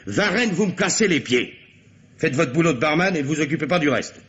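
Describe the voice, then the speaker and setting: An actor playing a curt, authoritative, impatient police commissioner in a radio mystery play. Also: male voice, fairly high pitch, lively intonation, a little fast, a bit bright, husky. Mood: angry, commanding. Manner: curt, commanding. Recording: dull, noisy old radio drama recording.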